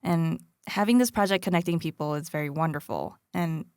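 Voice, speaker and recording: A woman hosting a podcast, narrating in a reflective, measured way and interviewing a guest. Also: deep voice, darkish tone, clean tone, no echo, clean studio sound. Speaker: podcast host.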